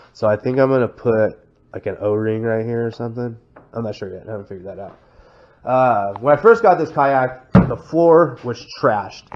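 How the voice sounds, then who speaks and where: A Texan man with a stuffy head cold, casually walking through his kayak setup with lots of fillers and restarts. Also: medium pitch. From Texas. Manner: rambling, many "uh" fillers and restarts. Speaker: kayak vlogger. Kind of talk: casual show-and-tell walkthrough. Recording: slightly dull sound with faint noise.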